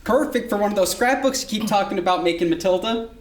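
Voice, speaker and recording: A woman's voice: medium pitch, fast talker, darkish tone, close to an everyday voice. A woman playing an overworked, short-tempered father, blunt and commanding, in a staged play reading. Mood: fond. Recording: echoey room.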